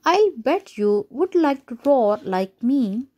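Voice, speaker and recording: A woman reading a children's picture book aloud as a storyteller, deliberate and a little halting in places. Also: high-pitched, big pitch swings, dark tone.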